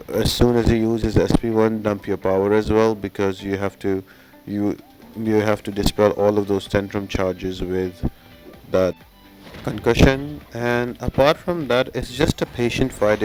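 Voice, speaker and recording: A man's voice: lowish voice, a little mellow. A man who hosts a gaming channel, giving casual, instructional walkthrough commentary and talking directly to his viewers. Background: music.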